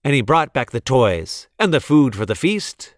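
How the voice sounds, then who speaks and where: A man reading a rhyming children's storybook aloud in a theatrical, deliberate way. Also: medium pitch, very animated, no room sound, studio quality. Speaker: storybook reader. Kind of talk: expressive children's read-aloud of rhyming verse.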